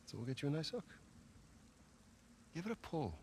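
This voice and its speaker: An actor playing a teasing, easygoing treasure hunter. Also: male voice, a little high, very animated, normal speed, a little mellow, a little hiss or hum. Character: teasing older-brother male treasure hunter.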